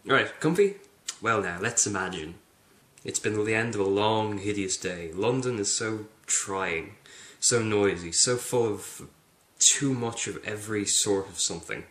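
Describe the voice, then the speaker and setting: A man with a fairly low voice presents and reads from a book. His delivery is theatrical and aimed straight at the listener, and the room echoes noticeably around him. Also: animated, a little slow, fairly bright tone. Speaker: book reviewer. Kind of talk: dramatic book reading. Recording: roomy, echoing indoor sound.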